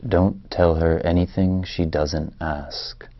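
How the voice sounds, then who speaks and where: A man's voice: low voice, steady tone, slow pace. An actor in a play reading, playing an anxious, protective man in an Israeli family, on a dull recording. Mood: tense, insistent.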